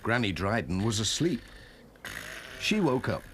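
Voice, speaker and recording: A man's voice: lowish voice, big pitch swings, a little slow, fairly bright tone, slight background noise. A man with an English accent narrating a children's story and doing the character voices. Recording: animal and vehicle sounds behind.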